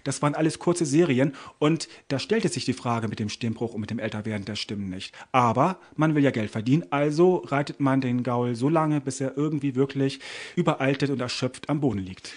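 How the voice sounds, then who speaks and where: A man's voice: mid-range voice, brisk. A man giving articulate, opinionated criticism as co-host of an audio drama review show.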